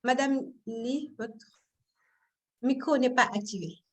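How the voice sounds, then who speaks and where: Woman running a zoom training, higher voice, polite, bit hesitant, video call sound. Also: slow. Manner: polite, hesitant, repeats words. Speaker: online training moderator. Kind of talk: online meeting moderation.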